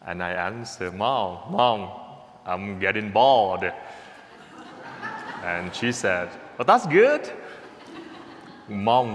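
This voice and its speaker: Vietnamese-accented priest, male, slow homily delivery, mid pitch, long church reverb. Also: a little hiss or hum. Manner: slow, repeats words, speaks to the congregation with a gentle "okay?". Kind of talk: sermon.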